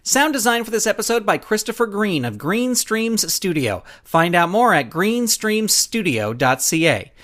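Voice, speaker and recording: A man's voice: sits high, very animated, moderate pace, hardly any pauses, fairly bright tone. A man reading scripted credits and announcements for a children's show in a chirpy, folksy way.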